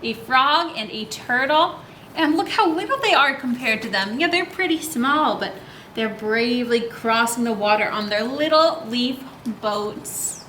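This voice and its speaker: A bright, high woman's voice presents a picture book to young children. She is expressive and unhurried, guiding them with simple questions. The room adds a noticeable echo. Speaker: children's library story time host. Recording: roomy echo, faint background noise.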